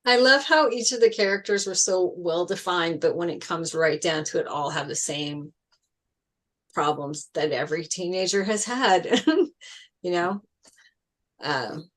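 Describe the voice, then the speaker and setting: Woman, slightly low pitch, casual talk, group discussion.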